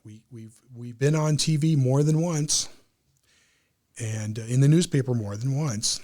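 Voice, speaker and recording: A man's voice: medium pitch, measured pace, mellow. A man speaking as a club member and guest in a casual interview, explaining things earnestly.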